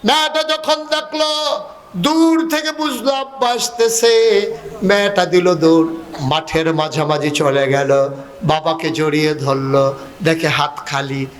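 Bangladeshi guy preaching waz, high voice, really slow, emotional half-chanted storytelling. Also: fairly even intonation. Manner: emotive, half-chanted delivery with drawn-out phrases. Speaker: Islamic preacher. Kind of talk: impassioned waz sermon, dramatic religious storytelling.

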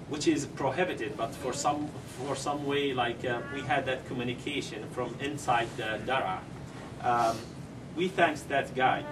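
A middle-aged man gives a halting press statement, full of ums and grammar. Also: medium pitch. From Syria. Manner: halting, with many ums and non-native grammar. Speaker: parent speaking at a press conference. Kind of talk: prepared press statement, then answering questions. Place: conference room, seated at a table. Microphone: table microphones, heard through a far camera. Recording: distant mic with clear background noise.

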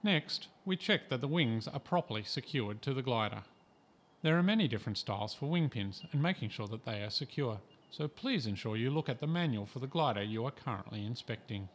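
A man from Australia reading a scripted training voice-over in a calm, measured, instructive way. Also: medium pitch. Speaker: instructional narrator.

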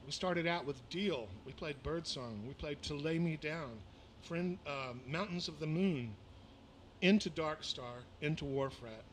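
A man's voice: a little high, a little slow, a little hiss or hum. An older man from the American South, a musician chatting casually between songs at a small venue and talking into a stand mic, rambling along with lots of fillers and restarts. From Mississippi, raised in Louisiana. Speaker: musician performing a livestream. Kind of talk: casual between-song chat. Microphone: vocal mic on a stand.

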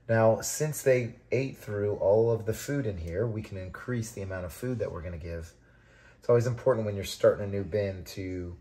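A man hosting a composting tutorial, talking casually and relaxed as he works with his hands and shows what he is doing. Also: low-pitched. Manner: relaxed, conversational, talks while working. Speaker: vermicomposting channel host.

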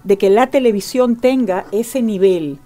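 A woman's voice: medium pitch, measured pace, mellow. A woman hosting a radio panel discussion, talking assertively and interjecting often. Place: radio studio.

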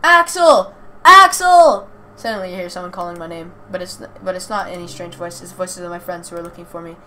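A woman's voice: lowish voice, little pitch movement, quick pace. A woman reading a story aloud with casual asides, stumbling over words and correcting herself. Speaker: reader of a visual novel playthrough. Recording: clear background noise.

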